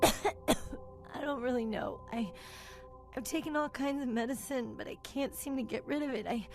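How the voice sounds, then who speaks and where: A woman's voice: high voice, moderate pace, a little mellow, soft background music. A woman voicing a weak, sick, bedridden young woman in a video game, with faltering, hesitant speech. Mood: weak, confused.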